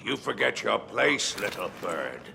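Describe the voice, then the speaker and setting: Scheming alien baron, gravelly, slow, menacing, theatrical game villain, noisy background. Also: male voice, on the low side, big pitch swings, pushed and bright, heavily acted. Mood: scornful, menacing. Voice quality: gravelly, creaking growl. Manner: drawn-out, sardonic, dropping to breathy asides. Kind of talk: dramatic video game cutscene acting.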